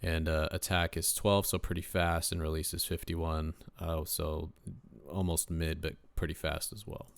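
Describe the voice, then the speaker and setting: A deep-voiced young man, a rapper casually walking through his mixing setup in a relaxed way, with lots of ums and uhs. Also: moderate pace, vocal fry, clear, resonant tone, no echo. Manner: laid-back, lots of uh and um fillers. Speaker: rapper and home mixing engineer. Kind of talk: casual screen-share mixing tutorial.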